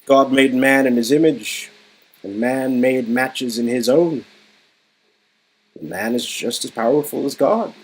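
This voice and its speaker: A man's voice: medium pitch, a little slow, husky, poor audio. A man playing a smug, grandiose villain with a pompous, theatrical delivery in a story-game reading. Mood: grandiose, rapt. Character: smug, grandiose male villain boasting of his creation. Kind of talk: amateur dialogue reading of an interactive story game. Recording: music under the voice.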